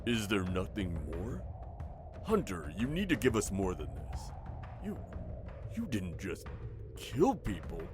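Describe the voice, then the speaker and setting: Sympathetic tribunal member, male actor: lowish voice, expressive, earnest appeals, faint music, fantasy audio drama. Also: takes it slowly, long pauses, full character voice. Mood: pleading. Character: fair-minded, earnest male tribunal judge.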